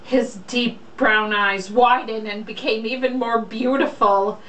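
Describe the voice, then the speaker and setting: A woman's voice: fairly high pitch, fairly even intonation, unhurried, fairly bright tone. A young woman reading a romance novel aloud casually, in a mocking, irreverent way. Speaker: reader. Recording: echoey room, distant mic, faint noise.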